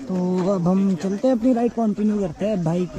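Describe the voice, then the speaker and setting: A man doing casual motovlog talk outdoors in an excitable, repetitive way. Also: high-pitched, brisk, muffled recording, crowd noise. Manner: excitable, repeats short phrases. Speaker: motorcycle vlogger. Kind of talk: casual vlog chat to viewers. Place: outdoors on a roadside. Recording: loud outdoor road and vehicle noise.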